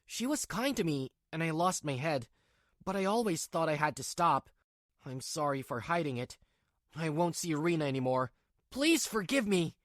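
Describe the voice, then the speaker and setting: A woman's voice: low-pitched, very animated, normal speed, no echo, clean studio sound. A woman voicing a weak-willed, two-faced young husband in a manga drama, with a pleading, hesitant delivery. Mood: apologetic.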